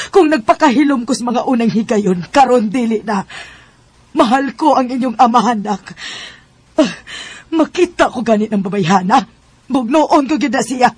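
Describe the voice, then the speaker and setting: A woman with a Cebuano accent playing a fierce, betrayed middle-aged wife and mother in a radio drama, her voice husky. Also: high-pitched, darkish tone, no echo. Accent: Cebuano Filipino. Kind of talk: Bisaya radio drama acting.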